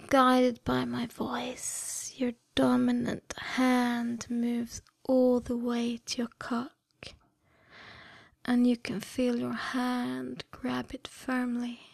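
A woman speaks in a breathy, hushed whisper with a creaky edge. Her delivery is extremely slow and deliberate, soothing but commanding, as in a scripted hypnosis ASMR track. The sound is dry and intimate. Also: on the high side, no room sound. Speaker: erotic hypnosis audio performer.

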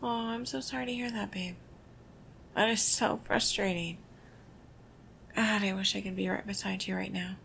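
A woman doing a whispered ASMR girlfriend roleplay, soft, intimate and affectionate. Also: mid-range voice, steady tone, moderate pace, creaky, long pauses, slight background noise.

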